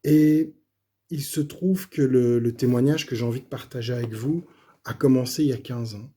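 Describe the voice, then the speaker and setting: A middle-aged man, slightly hoarse, gives a personal faith testimony in a halting, casual way with many fillers and restarts. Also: medium pitch, warm tone.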